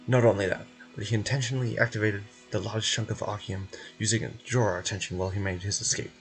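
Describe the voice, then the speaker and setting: Casting: evasive male scientist-bureaucrat for a game man reading, mid-low everyday voice, officious and courteous, soft music behind. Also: steady tone, natural voice, poor audio. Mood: explanatory. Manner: measured, polite, officious. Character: formal, evasive male research institute official in fantasy game.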